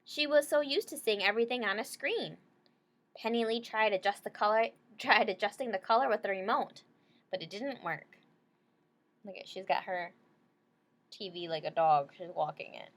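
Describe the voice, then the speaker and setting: A woman's voice: medium pitch, moderate pace, a bit bright. A woman reading a children's story aloud, stopping to explain things simply and check in with short questions. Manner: checks in with listeners using short tag questions.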